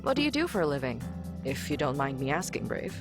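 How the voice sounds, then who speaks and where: An actress playing a friendly, inquisitive spaceship crew member in a visual novel, with an expressive, chatty manner. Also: female voice, sits low, fast, over music. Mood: friendly, curious. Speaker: game character.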